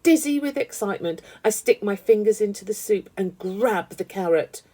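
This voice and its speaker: A woman reading a children's story aloud with animated, dramatic emphasis. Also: medium pitch, normal speed. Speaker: storybook reader.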